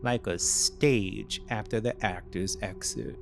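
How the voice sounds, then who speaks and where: A man narrating a scripted thriller story in short, deliberate, measured phrases. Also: lowish voice, vocal fry, soft background music.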